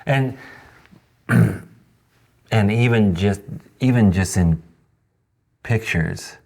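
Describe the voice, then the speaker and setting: Male podcast host, fifties, lowish voice, slow halting delivery, blunt and informal. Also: vocal fry, a little mellow. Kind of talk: casual interview chat.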